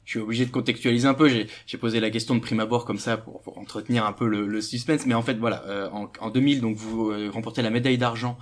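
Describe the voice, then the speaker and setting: A man's voice: medium pitch, fairly even intonation, fast talker, hardly any pauses. Casual male podcast host. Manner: casual, chatty questioning. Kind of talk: interview podcast. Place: ministry office.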